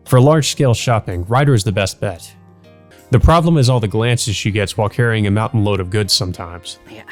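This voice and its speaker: A man's voice: on the low side, a little fast, soft background music. A man reading a visual novel aloud as a let's play host, casually and unpolished, dropping in his own asides.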